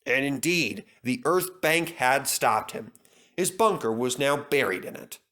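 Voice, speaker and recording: Bright, animated male storyteller. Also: medium pitch, measured pace. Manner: expressive, dramatic reading. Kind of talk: animated scripted children's story narration.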